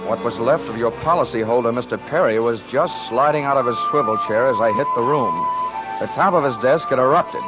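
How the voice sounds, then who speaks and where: Dull old radio sound: male actor as a hard-boiled investigator, dry, brisk, wisecracking, mid-pitched. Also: lightly acted. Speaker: lead actor of a radio detective serial. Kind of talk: 1940s radio detective acting and narration. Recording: dull 1940s radio recording with music.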